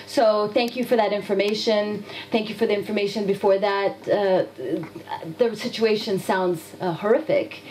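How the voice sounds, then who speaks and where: A woman from Afghanistan answers an interviewer directly, pushing back with pointed rhetorical questions. Her voice sits at an ordinary middle pitch. A faint hum runs under the recording. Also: fairly even intonation, normal speed, darkish tone, rough recording. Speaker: guest from a nonviolence institute.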